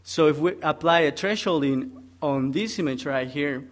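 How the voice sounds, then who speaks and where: A young man from Peru presents a technical topic at a conference. He talks haltingly, with many ums, walking through each point step by step. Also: on the high side.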